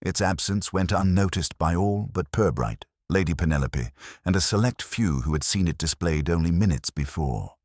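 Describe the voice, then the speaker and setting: Very deep-voiced male mystery narrator. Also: lively intonation, normal speed, a little mellow, dry recording, studio quality. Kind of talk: scripted narration of a mystery story.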